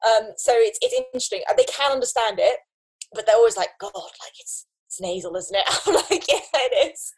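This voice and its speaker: A young woman in casual conversation, animated, often restarting her sentences and laughing between thoughts. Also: on the high side, brisk, breathy edge. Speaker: guest.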